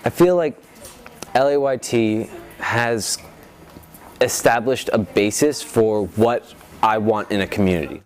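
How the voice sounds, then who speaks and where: A man's voice: on the low side, a little slow, long pauses, slight background noise. A man speaking as a theatre group member in a documentary interview.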